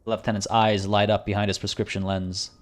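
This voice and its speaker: A man streaming a video game, chatting casually with viewers in a rambling way, and slightly hoarse, as if losing his voice. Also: fairly low pitch, little pitch movement, fairly quick. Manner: rambling and chatty, frequent restarts. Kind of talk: casual livestream commentary.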